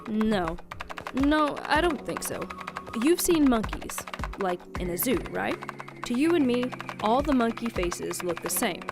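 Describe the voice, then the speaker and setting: A calm young woman played by a voice actress explains things in measured, gently teasing phrases at a middling pitch. She belongs in a visual novel game, with music clearly playing behind her. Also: close to an everyday voice. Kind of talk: visual novel fan dub acting.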